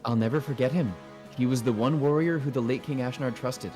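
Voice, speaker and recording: A man voicing a young queen in game dialogue, gentle yet resolute, speaking formally and earnestly. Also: mid-range voice, fairly quick, faint music behind, close to an everyday voice. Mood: grave. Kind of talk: game dialogue read aloud in a fan dub.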